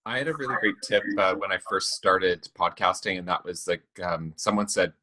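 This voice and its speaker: A man speaks in a very deep voice, sharing tips in a relaxed panel chat. He talks hesitantly, stopping and restarting his thoughts often. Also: normal speed. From Canada. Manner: hesitant, many fillers and restarts. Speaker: minister and podcaster. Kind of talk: casual panel discussion.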